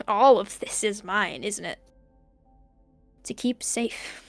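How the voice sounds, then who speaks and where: Slow, halting young student, played by a woman, wistful. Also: mid-range voice, expressive, no echo, faint music behind, exaggerated voice. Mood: quietly moved. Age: young adult. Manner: halting, reflective, trailing off. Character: lonely, bookish young student recording tapes, wistful and hesitant. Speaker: actor in an audio drama. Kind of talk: intimate audio diary monologue.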